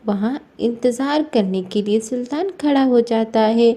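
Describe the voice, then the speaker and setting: A woman's voice: on the high side, unhurried, dark tone. A woman storyteller reading a scripted moral story narration.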